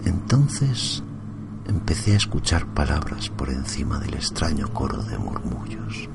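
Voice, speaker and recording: A man narrating a first-person horror story in a suspenseful, drawn-out, often hushed way. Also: very deep voice, big pitch swings, vocal fry. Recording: loud music under the voice. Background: loud music.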